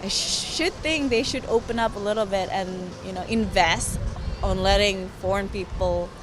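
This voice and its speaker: A man, a professional fighter, answering street interview questions in a halting way while he searches for words. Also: sits high, background noise. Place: outdoors on a city street.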